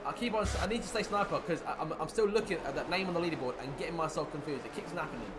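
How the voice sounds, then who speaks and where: Male sim racing play-by-play caller, quick and raised in pitch, getting hoarse, noisy recording. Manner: excited play-by-play, rising with the action. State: losing his voice. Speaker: lead commentator.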